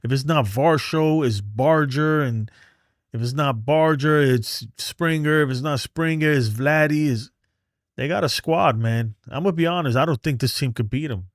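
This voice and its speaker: A man's voice: on the high side, normal speed, no room sound, studio quality. A man from New City, hosting a casual livestream and bluntly reading and answering chat. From New York City. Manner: streetwise, blunt, reads chat aloud. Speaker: livestream host. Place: home in Texas.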